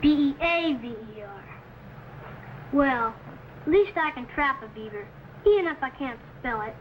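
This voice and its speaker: A woman's voice: high voice, animated, unhurried, muffled recording. A woman playing an eager, earnest young boy who dreams of exploring, in an old-time radio adventure. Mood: earnest, grudging. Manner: earnest, protesting, boyish. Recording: slightly noisy old radio recording.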